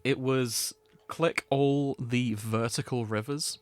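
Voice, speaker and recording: A man's voice: mid-range voice, steady tone, takes it slowly, dry recording. A man hosting a podcast in a casual, chatty style. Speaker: podcast host.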